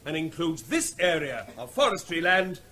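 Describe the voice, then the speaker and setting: A man's voice: fairly high pitch, big pitch swings, normal speed, sharp tone, slight background noise. An actor in a radio play voicing a stern male official, speaking formally and insistently as if to a public meeting. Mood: formal, measured. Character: stern male official running rabies control briefing.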